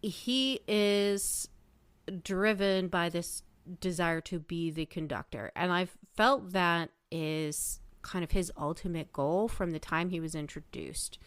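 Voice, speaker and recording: A woman's voice: on the low side, slow pace, clear, resonant tone, no echo. A woman chatting casually and emphatically as a panelist in a fan discussion podcast.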